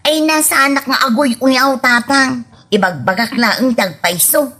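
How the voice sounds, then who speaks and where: A woman's voice: sits high, moderate pace, fairly bright tone. A woman playing a haughty, fussy returnee from abroad in an Ilocano comedy drama, whiny and disdainful, with an Ilocano accent. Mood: disgusted.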